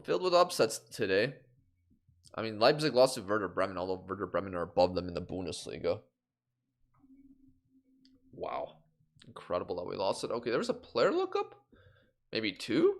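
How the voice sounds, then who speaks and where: A young man from Canada, chatting on a live game stream and reacting aloud to results. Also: medium pitch.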